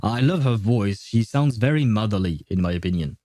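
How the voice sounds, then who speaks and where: A man's voice: on the low side, animated, moderate pace, dark tone, dry recording, clean studio sound. A man chatting casually as a game livestream host, rambling and opinionated. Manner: rambling, opinionated, often chuckling. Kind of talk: casual gaming livestream chat.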